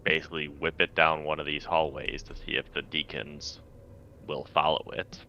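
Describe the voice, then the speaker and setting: Very deep male voice, dull mic. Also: level tone, normal speed, pushed and bright. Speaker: tabletop game player. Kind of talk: casual in-character game talk. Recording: dull lo-fi mic, faint noise.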